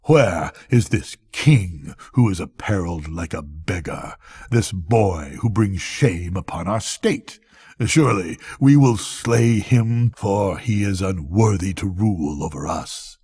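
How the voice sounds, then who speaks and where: A man acts out angry noblemen from a fairy tale, full of scorn and threat. They declaim haughtily in a mellow, unhurried, lowish voice. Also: lively intonation, breathy edge, no echo, studio quality, exaggerated voice. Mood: scornful anger.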